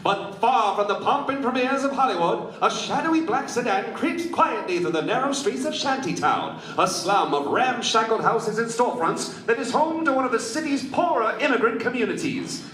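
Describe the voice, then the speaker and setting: Bombastic high-pitched superhero serial announcer. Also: male voice, lively intonation, normal speed, hardly any pauses, a bit bright, a little hiss or hum. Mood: grandiose. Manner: grandiose, dramatic. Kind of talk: mock-heroic radio narration. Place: live stage at a convention. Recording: echoey convention room, distant camera, music behind.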